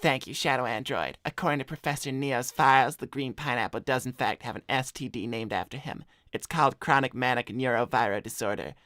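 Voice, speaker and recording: A laid-back scumbag hedgehog played by a man with a mid-pitched voice. He talks in slangy, deadpan, self-assured livestream chat. The recording is close and dry. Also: brisk, few pauses, no echo, lightly acted. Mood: reading aloud. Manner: slangy, swearing, deadpan bragging. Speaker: cartoon character co-hosting an advice livestream. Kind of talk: improvised in-character chat, reading donor messages.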